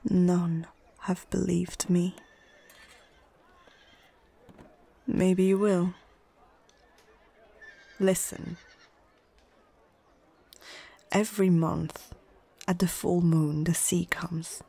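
A woman playing a stern, proud female orc chieftain in a whispered ASMR fantasy roleplay, speaking in short, measured phrases with a husky, breathy voice. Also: fairly low pitch, flat delivery, warm tone, exaggerated voice. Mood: grave.

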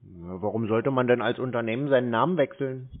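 A man's voice: mid-range voice, fairly quick. A man in a casual phone conversation, dry, laconic and skeptical. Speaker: scam-baiter taking a sales call. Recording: narrow phone-like sound.

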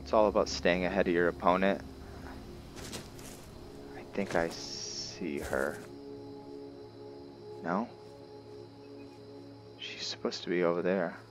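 A man's voice: low-pitched, slow pace, pauses often. A man giving casual roleplay commentary on a video game, often hesitant and trailing off mid-thought. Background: background music.

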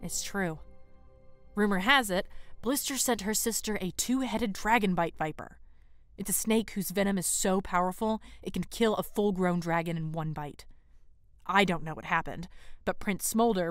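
A woman's voice: on the low side, moderate pace, no room sound, clean studio sound, soft background music, close to an everyday voice. Woman voicing a firm, motherly dragon outlaw leader. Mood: serious. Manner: firm, resolute. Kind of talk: audio drama acting.

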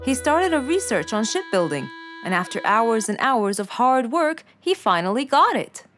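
A woman's voice: fairly high pitch, sing-song, normal speed. A woman narrator reading a scripted children's story. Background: music.

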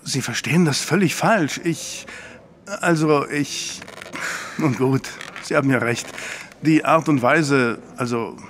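An actor playing a flustered, persistent suitor of about fifty in an audio drama, speaking haltingly and earnestly. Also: male voice, mid-range voice, fairly bright tone, a little hiss or hum. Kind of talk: German audio drama acting.

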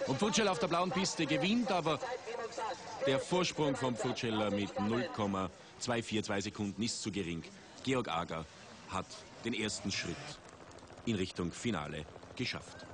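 A man commentating a ski race for TV, measured and rising in excitement at big moments. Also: on the low side, a crowd in the background. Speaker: sports commentator. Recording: 1980s TV broadcast with outdoor noise.

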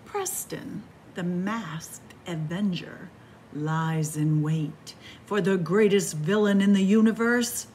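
A middle-aged woman reading a picture book aloud for children, dramatic, with hushed whispers and drawn-out words. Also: on the low side, slight background noise. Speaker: library story time host. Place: small library room with bookshelves, indoors.

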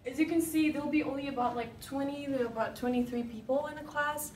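A woman's voice: sits high, quick pace, darkish tone, reverberant. Young female student guide from Nepal and Tibet, informal and emphatic, distant mic with faint noise. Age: young adult. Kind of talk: casual unscripted campus tour. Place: indoor hallway and classroom.

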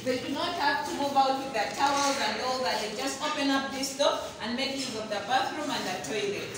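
A woman's voice: high voice, fairly quick. A young Ugandan woman with a Ugandan accent, leading an enthusiastic walking tour of an unfinished apartment building as a property sales guide. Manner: enthusiastic, showing rooms with wide gestures. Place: unfinished concrete apartment building, indoors and outdoors. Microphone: phone camera held by someone following her, at a distance. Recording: echoey bare rooms, distant, noisy.

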